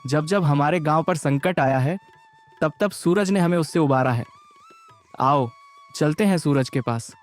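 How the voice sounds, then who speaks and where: Guy doing a worried village chief in a cartoon tale, really high for a man, faint music. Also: unhurried, lightly acted. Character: worried village headman, anxious and familiar. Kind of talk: animated moral story dialogue.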